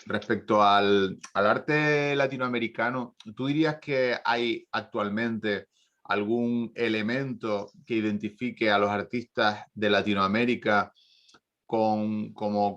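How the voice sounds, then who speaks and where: A man moderating a conference Q&A, asking long, halting questions full of searching pauses. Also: on the low side, fairly bright tone, highs cut off.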